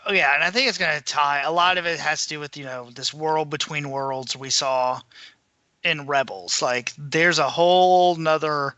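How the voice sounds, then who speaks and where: Super bright punchy guy voice, bit high, casual podcast chat, dry sound. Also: moderate pace, no echo, dull audio. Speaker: podcast co-host. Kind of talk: casual fan discussion.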